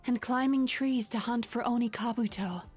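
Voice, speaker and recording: A woman voicing a game heroine, speaking in an earnest, calm and steady way. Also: a little high, normal speed, soft background music, lightly acted. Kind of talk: game voice acting.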